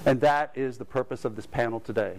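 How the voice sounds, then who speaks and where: A man moderating a conference panel, speaking in a measured, formal manner as he makes announcements and introduces the panelists. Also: mid-range voice, a little hiss or hum. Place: conference hall with audience.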